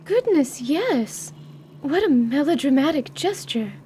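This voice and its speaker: A woman as a high-voiced, prim young noblewoman. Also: big pitch swings, slow pace, dark tone, a little hiss or hum, exaggerated voice. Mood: indignant. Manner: formal, genteel, fussy wording. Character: Anise, prim, melancholy, doting young noblewoman adventurer. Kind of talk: radio-theatre fantasy role-play acting.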